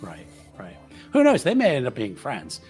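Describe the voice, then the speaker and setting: A man's voice: mid-range voice, wildly swooping pitch, moderate pace, darkish tone, soft background music. A man playing in a tabletop roleplaying game, joking dryly and restarting his words often. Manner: dry joking, stammering restarts. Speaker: player.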